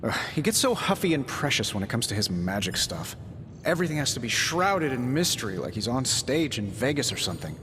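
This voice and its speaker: An actor voicing a cocky, sarcastic scientist superhero in a video game, with a glib, wisecracking way of talking. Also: male voice, mid-range voice, sing-song, brisk, long reverb tail, background noise, lightly acted. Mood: teasing, wry.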